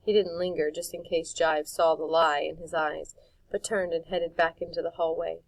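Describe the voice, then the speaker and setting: A woman reading a storybook chapter aloud in a calm, measured way. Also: low voice, gravelly, no room sound, poor audio. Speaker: audiobook reader. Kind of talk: storybook narration.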